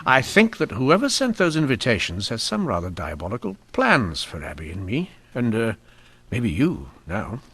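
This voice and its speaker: An actor playing a shrewd, dryly witty police inspector in a radio mystery drama, speaking in a measured, deliberate way. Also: male voice, mid-range voice, huge pitch swings, a bit bright, slightly rough, full character voice. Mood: grave, confiding. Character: shrewd, dryly wry male police inspector in 1890s Canada. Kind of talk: old-time radio mystery drama acting.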